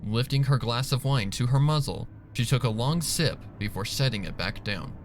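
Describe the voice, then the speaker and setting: A man's voice: medium pitch, expressive, normal speed, slight background noise. A man reading fan fiction aloud as a scripted, dramatic story narration. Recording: music bed under the narration.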